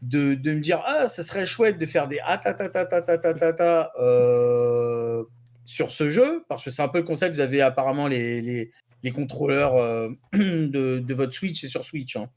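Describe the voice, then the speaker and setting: A man's voice: medium pitch, measured pace, darkish tone. A man giving news as a columnist in a rambling, slangy, jokey way. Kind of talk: casual chat.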